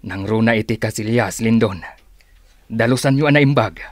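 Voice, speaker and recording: A fatherly older uncle in a radio drama, acted by a man, counsels in a low voice. He is cautious and measured, admonishing, recorded close and dry. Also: no echo, natural voice. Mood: instructing. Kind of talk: radio drama acting in Ilocano.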